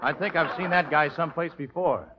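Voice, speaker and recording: An actor playing an earnest, devoted young suitor with an American accent in a 1940s radio operetta. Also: male voice, a little high, fairly quick, over a noisy crowd, very noisy, natural voice. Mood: reassuring. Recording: dull old radio recording with music.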